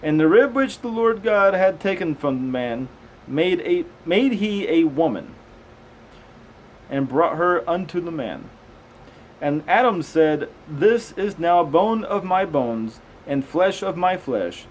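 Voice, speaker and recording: A man with a fairly high-pitched voice reads old text aloud in a halting way, tripping on hard words. He mixes in casual, conversational asides. The amateur recording carries faint background noise. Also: animated, low-quality recording. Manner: halting reader, stumbles over unfamiliar words. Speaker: amateur host reading aloud and commenting. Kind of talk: reading scripture aloud with casual commentary.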